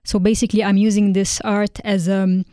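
A woman from Lebanon, a guest artist in an interview, speaking thoughtfully and pausing often with ums. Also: medium pitch, level tone, warm tone, no room sound, studio quality. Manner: thoughtful, hesitant with frequent ums. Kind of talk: interview conversation.

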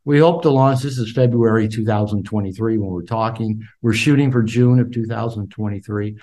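A man's voice: fairly low pitch, fairly even intonation, brisk, mellow. A man in his sixties, a podcast guest and author, talking casually and often repeating words as he starts sentences. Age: 60s. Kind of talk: casual interview talk. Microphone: call.

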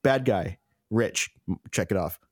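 A man co-hosting a film podcast, joking and animated, laughing often. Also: fairly low pitch, a little slow, dry recording, clean studio sound. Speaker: podcast co-host. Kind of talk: casual chat.

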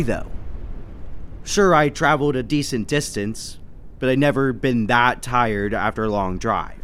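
A man's voice: mid-range voice, a bit bright, a little hiss or hum. A man acting an inquisitive, uneasy traveling businessman who narrates a 1930s-style radio mystery. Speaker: lead actor and narrator.

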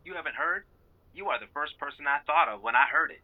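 A man's voice: fairly high pitch, animated, quick pace, slight background noise, lightly acted. A man playing a blunt confidant who warns his friend, in an audio drama with a dull sound. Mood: urgent, blunt.

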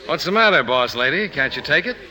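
A man's voice: a little high, expressive, a little fast, pushed and bright, poor audio. An actor playing an insolent, sarcastic male office rival who needles people in a 1950s radio drama. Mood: sarcastic, needling. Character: sneering, insolent office rival of a woman boss.

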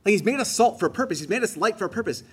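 A man's voice: high-pitched, expressive, rapid-fire, a bit bright, husky. A man giving a homily in a conversational, emphatic way, rising on key phrases. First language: English. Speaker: preacher.

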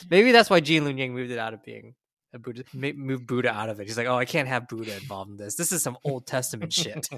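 A man with an American accent chatting as a podcast co-host, often halting and rephrasing himself as he goes. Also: medium pitch, lively intonation, fairly bright tone, no room sound, clean studio sound. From United States. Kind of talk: casual conversational discussion.

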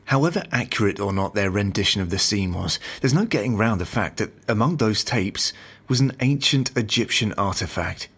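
An investigating agent in an audio drama. His voice sits low with a rough, husky edge. He speaks in a measured, understated way, careful and noncommittal. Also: expressive, a bit bright, heavily acted. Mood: puzzled, dry. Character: calm, cautious male investigating agent, dryly noncommittal. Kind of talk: naturalistic audio drama acting.

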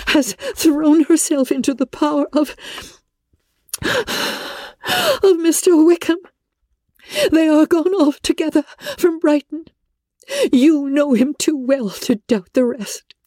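A woman voicing a distraught, self-blaming young gentlewoman in a classic novel reading, agitated and halting, her voice tearful and breaking. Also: sits high, no echo, clean studio sound, pitched above the performer's own voice. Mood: anguished confession.

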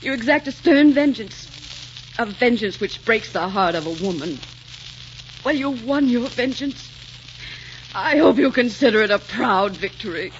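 A dull, faintly hissy 1940s radio recording carries a proud young heroine with a rather high voice. She is a lovelorn captive, earnest and melodramatic in every phrase. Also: animated, unhurried. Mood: wounded, scornful.

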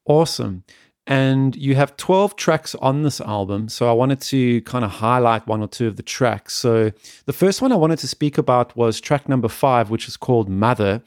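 A man's voice: medium pitch, a little mellow, no echo. Polite male interviewer, dry sound. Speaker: interviewer, host. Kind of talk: music interview, prepared questions.

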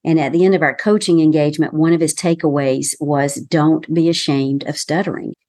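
A woman with a softened Southern American accent, a communication consultant giving measured, explanatory advice in an interview. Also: sits low, fairly even intonation, dry recording. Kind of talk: professional podcast interview.

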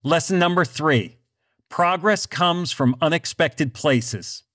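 A man hosting a business podcast, reading scripted narration in a measured, deliberate way. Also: a little high, a bit bright. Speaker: podcast host.

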